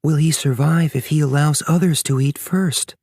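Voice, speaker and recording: A man's voice: on the high side, fairly even intonation, moderate pace, a little mellow, dry recording, voice pushed up. An anxious, protective mother grizzly acted out by a male audiobook reader speaks in a raised voice. The delivery is urgent and questioning, like a worried parent in a storybook. The sound is dry and close. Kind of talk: audiobook character voice.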